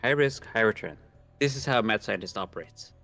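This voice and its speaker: A grandiose, scheming young mad scientist, acted out by a man reading a visual novel. The voice sits on the low side and declaims with pompous theatricality. Faint music plays underneath. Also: animated, brisk, fairly bright tone. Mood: gleeful villainy.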